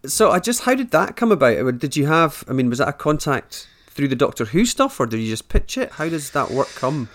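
A man's voice: a little high, sing-song, fairly quick, hardly any pauses, fairly bright tone, slight background noise. A middle-aged man hosting a casual interview, chatty and enthusiastic, laughing often and restarting his questions.

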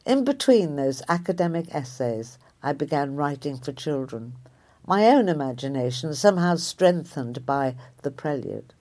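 Low-voiced older raised woman reflecting. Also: lively intonation, normal speed, warm tone. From Liverpool area, England. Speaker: writer. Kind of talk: reflective reading of a personal essay.

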